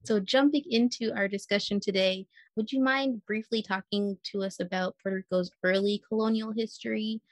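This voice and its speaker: A woman's voice: mid-range voice, steady tone, moderate pace, few pauses, no room sound, poor audio. A woman hosting a history podcast and interviewing a guest. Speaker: podcast host.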